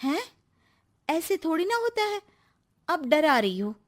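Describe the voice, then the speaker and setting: A woman voicing a naive, chatty young wife in an expressive, eager manner for a cartoon story. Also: very high-pitched, measured pace, voice pushed up, heavily acted. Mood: doubtful. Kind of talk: Hindi moral-story cartoon acting.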